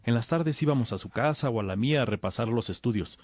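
A man playing an earnest, bookish young disciple in a radio drama, with a husky voice and deferential manner. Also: medium pitch, expressive, quick pace, a little mellow, slight background noise, natural voice. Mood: earnest, questioning.

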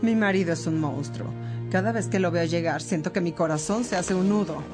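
A woman's voice: lowish voice, brisk, over loud music, natural voice. A woman playing a long-suffering, complaining well-off wife in a radio drama, dramatic and aggrieved.

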